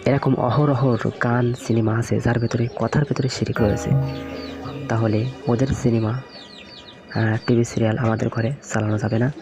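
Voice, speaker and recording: A man's voice: mid-range voice, level tone, moderate pace, natural voice. A man voicing a pious, stern uncle in a religious cartoon, admonishing his nephew in a didactic way. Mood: preaching, stern. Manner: didactic, questioning. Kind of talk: scripted religious cartoon dialogue and preaching. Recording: music behind the voice.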